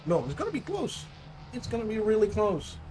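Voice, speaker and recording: Dutch guy calling a sim race live, kinda high voice, rambling and fixing his own words. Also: huge pitch swings, brisk, a little mellow, soft background music. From Netherlands. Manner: chatty, self-correcting, unsure of name pronunciations. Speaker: race commentator. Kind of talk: live sim racing commentary.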